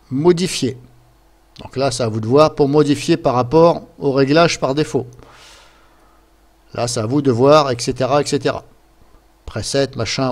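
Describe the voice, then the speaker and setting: A man's voice: medium pitch, darkish tone. Man, mid-range voice. Informal tutorial presenter, improvising step by step, loose and hesitant delivery. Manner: rambling, hesitant, step-by-step, fond of filler words. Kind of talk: casual improvised screen-share tutorial. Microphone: computer microphone.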